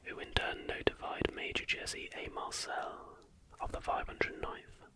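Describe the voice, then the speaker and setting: ASMR narrator needed: fully whispered, breathy delivery of scripted factual material in short measured phrases. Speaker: ASMR video host.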